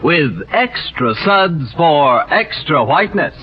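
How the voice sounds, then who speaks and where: A man reading a 1940s radio soap commercial with an upbeat, punchy pitch. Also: very animated, takes it slowly, a little hiss or hum. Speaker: commercial announcer. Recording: dull 1940s radio recording.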